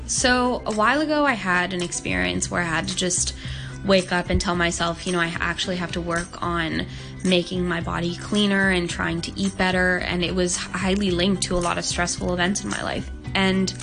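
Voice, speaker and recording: Teen-ish girl from hong kong, lowish gravelly voice, earnest, says like a lot. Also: steady tone, moderate pace, music in the background. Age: young adult. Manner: earnest, open, many "like" fillers. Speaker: guest. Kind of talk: casual interview talk.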